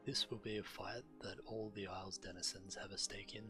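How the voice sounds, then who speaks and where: A man's voice: lowish voice, fairly even intonation, fairly quick, over music. A man who streams games, reading quest text aloud.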